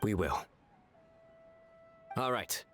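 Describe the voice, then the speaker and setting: A man's voice: lowish voice, expressive, very slow, fairly bright tone, heavily acted. A man playing a composed, serious general in a video game. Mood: composed, purposeful. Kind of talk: video game character voice acting. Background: music.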